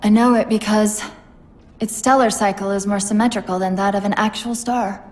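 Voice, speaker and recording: A woman's voice: mid-range voice, fairly even intonation, normal speed, a little mellow, close to an everyday voice. An actress playing a brainy, wistful female artificial intelligence, precise and reflective, in a video game cutscene. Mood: pensive. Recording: faint background noise, ambience.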